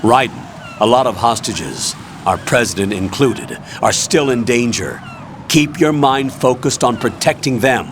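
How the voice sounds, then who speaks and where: A man playing an authoritative military commander in a video game, calm, formal and instructing. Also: mid-range voice, unhurried, noisy background, natural voice. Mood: calm, commanding. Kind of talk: dramatic video game voice acting.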